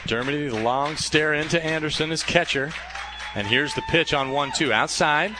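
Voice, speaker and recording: A man doing radio play-by-play for a baseball game, calling pitches in a steady flow that lifts when a play unfolds. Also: moderate pace, crowd noise, noisy background. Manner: steady calls, rising excitement on plays. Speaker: play-by-play announcer.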